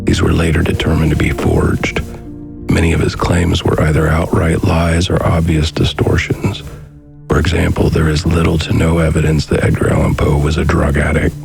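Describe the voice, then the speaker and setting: A man's voice: extremely low pitch, monotone, moderate pace, gravelly, darkish tone, faint music behind. A man reading scripted documentary narration as the narrator.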